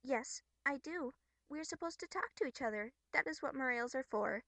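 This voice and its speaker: Woman acting a bubbly, high-voiced young troll girl. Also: expressive, normal speed, fairly bright tone, no echo, full character voice. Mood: earnest scolding. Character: bubbly, earnest young troll girl, scolding but caring. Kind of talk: amateur character voice acting from a webcomic.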